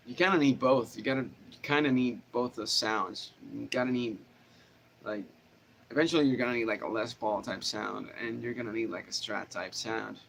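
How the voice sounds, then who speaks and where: A man's voice: medium pitch, gravelly. Nasal-voiced man in rambling casual chat. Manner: rambling, relaxed, with many fillers and restarts. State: sweaty in a hot room. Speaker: guitar teacher answering viewer questions. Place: small home studio room, no air conditioning, fan running. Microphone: camera microphone. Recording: faint background noise from a fan.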